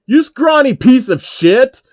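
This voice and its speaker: A man voicing a loud, hot-tempered male gamer villain who rants, whines and swears, in a fan fiction story. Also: very high-pitched, big pitch swings, takes it slowly, dry recording, muffled recording, pitched above the performer's own voice, heavily acted. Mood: furious. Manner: whiny, ranting, sweary.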